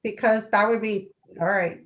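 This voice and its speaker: A woman's voice: medium pitch, fairly even intonation, moderate pace. Woman reading out a formal meeting report. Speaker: city council member presenting committee appointments. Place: local government meeting.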